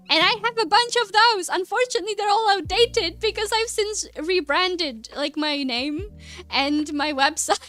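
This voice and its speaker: A woman's voice: high-pitched, fairly bright tone. A young woman from the Republic, chatting casually on stream while she draws, rambling, laughing often and hunting for words. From Czech Republic. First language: Czech. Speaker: artist streamer. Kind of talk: casual chat while drawing.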